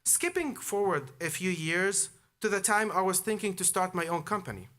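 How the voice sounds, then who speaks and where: A man's voice: high-pitched, big pitch swings, moderate pace, sharp tone. A man in his thirties from Jordan delivering a prepared commencement speech built on his personal story. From Amman, Jordan. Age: 30s. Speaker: commencement speaker, alumnus.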